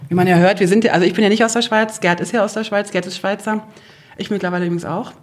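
A middle-aged woman from Berlin with a Berlin accent, chatting in a lively, talkative way about her home. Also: mid-range voice, very fast. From Berlin, Germany. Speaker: host to guests. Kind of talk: casual chat.

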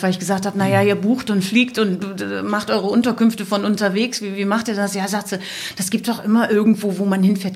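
Female podcast guest, middle-aged, medium pitch, casual conversational storyteller, colloquial and anecdotal. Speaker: podcast guest, motorcycle traveller.

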